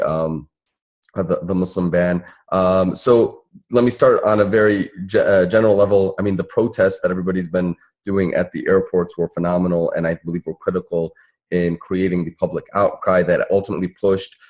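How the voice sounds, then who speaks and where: A man's voice: deep voice, darkish tone. A man giving earnest remarks as an activist on a discussion panel. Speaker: panelist, civil rights activist.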